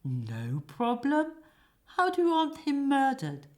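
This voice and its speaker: A woman's voice: high-pitched, wildly swooping pitch, slow, pitched above the performer's own voice, exaggerated voice. A woman voicing a brash hired killer in a comic stage play, punching key words with a salesman's delivery. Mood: businesslike, salesy.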